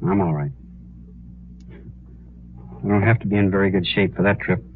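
An actor playing an earnest, humble American prisoner in a 1950s radio western, speaking haltingly. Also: male voice, deep voice, steady tone, narrow, tinny audio. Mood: humble, hesitant. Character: earnest young condemned prisoner protesting his innocence. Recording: thin, noisy old radio recording.

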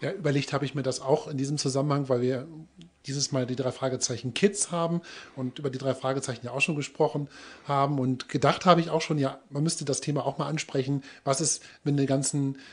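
A man's voice: mid-range voice, steady tone, a little fast, husky. A man co-hosting an audio drama review show in a conversational way.

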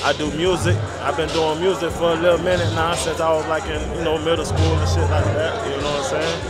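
A young man born in Haiti speaks in a laid-back, slangy way as a podcast guest, holding a handheld mic. His voice sits fairly high. Loud music and a thick crowd are heard behind him at the event. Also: flat delivery, fast talker. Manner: laid-back slangy talk, keeps asking you feel me. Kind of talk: casual interview at an event. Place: event venue lounge, indoors.

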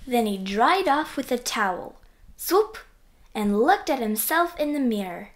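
A woman with a high voice reads a children's storybook aloud. She goes slowly, swinging widely in pitch and playing up every sound word in an animated way. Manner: animated, acting out sound effects. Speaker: reader of children's books. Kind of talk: expressive storybook read-aloud.

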